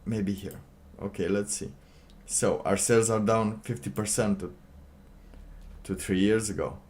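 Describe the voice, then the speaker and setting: A man doing casual livestream commentary, reading aloud and reacting in a halting, sardonic way, often repeating his phrases. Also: on the low side, fairly even intonation, a little mellow. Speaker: livestream host.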